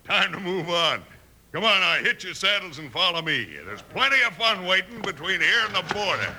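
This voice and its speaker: An actor playing a swaggering, gloating outlaw gang boss in a 1950s radio western, boastful and drawling, with an American Western accent. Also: male voice, high voice, expressive, moderate pace, pushed and bright, heavily acted. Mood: rowdy. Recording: vintage radio with noisy crowd.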